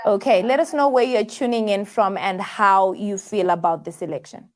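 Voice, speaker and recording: A woman's voice: medium pitch, moderate pace. A woman hosting a live call-in show, talking with many fillers and restarts as she takes viewers' calls. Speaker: host taking viewer calls.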